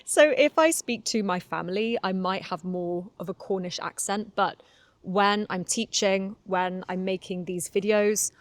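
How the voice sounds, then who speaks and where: Slow-talking Southern English woman teacher. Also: mid-range voice, steady tone, dry recording. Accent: Southern English, slightly London. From Cornwall, England. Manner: chatty and candid, laughs often, many fillers. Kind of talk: relaxed solo podcast monologue for learners.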